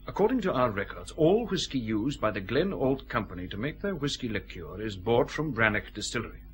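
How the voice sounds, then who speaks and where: A male actor plays an exacting customs scientist in a radio detective drama. He explains in a precise, pedantic manner, his pitch moving in lively swings. He sounds fussy and measured. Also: close to an everyday voice. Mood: lecturing.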